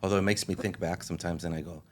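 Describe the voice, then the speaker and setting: A man born in Mexico, a guest in a casual interview, telling his story in a relaxed way and laughing easily. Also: deep voice, very fast, a little hiss or hum.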